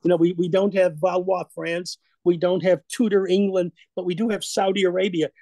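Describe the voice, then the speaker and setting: A man giving an unscripted history lecture, halting often with filler sounds and restarts and wandering into conversational asides. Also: high-pitched, level tone, mellow, no room sound. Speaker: guest speaker at a history association meeting.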